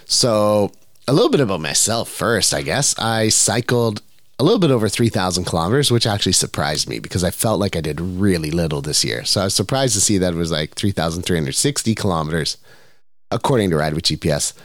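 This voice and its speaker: A man's voice: low-pitched, a little fast, no room sound, studio quality. Stuffy-nosed man hosting a podcast. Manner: relaxed and rambling, with many fillers. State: stuffed up with a cold. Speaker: podcast host. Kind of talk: casual solo podcast monologue.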